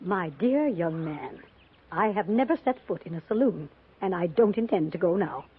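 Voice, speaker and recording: A woman's voice: lowish voice, expressive, moderate pace, natural voice. Woman as a prim matronly moralizer, tinny radio. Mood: prim, suspicious. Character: prim, haughty, moralizing matronly temperance lady. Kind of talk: 1950s radio drama acting. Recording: noisy, tinny old radio recording.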